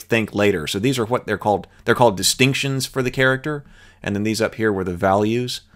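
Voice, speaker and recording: A man with a fairly low voice works through a tabletop game's rules. He reads passages aloud, then adds his own musing asides. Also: fairly bright tone. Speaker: channel host. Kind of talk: reading and explaining game rules.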